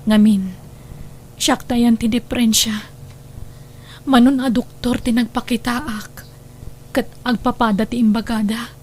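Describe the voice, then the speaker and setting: Woman acting high-voiced wounded young wife, Ilocano. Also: steady tone, measured pace, pauses often, a little mellow, a little hiss or hum, natural voice. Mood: dejected. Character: distressed married daughter, sorrowful and wounded. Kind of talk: Ilocano radio drama acting. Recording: music bed behind the voice.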